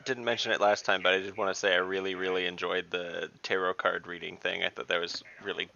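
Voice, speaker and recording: A man's voice: sits low, little pitch movement, fast, gravelly, pushed and bright, slight background noise. A man chatting casually as a player in an online tabletop game. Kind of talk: casual chat. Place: online voice call. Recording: dull lo-fi online call, keyboard typing.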